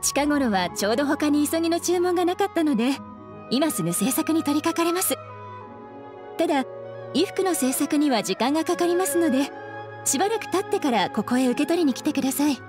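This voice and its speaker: A woman's voice: high voice, lively intonation, brisk, music in the background. A woman playing a kimono shopkeeper in an anime game, polite and practical in her service speech. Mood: helpful, businesslike.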